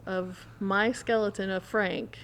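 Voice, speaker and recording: A woman's voice: mid-range voice, steady tone, takes it slowly, fairly bright tone, a little hiss or hum. Woman telling a story in casual chat.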